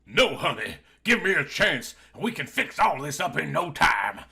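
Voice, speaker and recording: Man doing a high, blustering father. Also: very animated, normal speed, creaky, sharp tone, slightly rough, heavily acted. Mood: wheedling. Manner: blustering, wavering, wheedling. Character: bullying, sniveling older Southern father, rich schemer.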